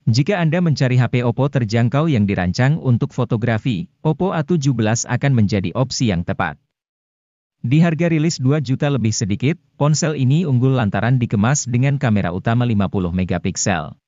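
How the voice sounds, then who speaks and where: A man's voice: medium pitch, lively intonation, brisk, darkish tone, no room sound, muffled recording. A man reads a formal, informative scripted voice-over for a gadget review. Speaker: narrator of gadget review videos. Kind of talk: scripted narration.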